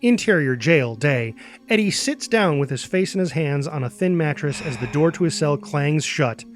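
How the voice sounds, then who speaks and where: A man's voice: on the high side, expressive, moderate pace, soft background music. A man narrating a screenplay, reading out scene headings and action as a scripted narrator. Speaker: narrator reading stage directions.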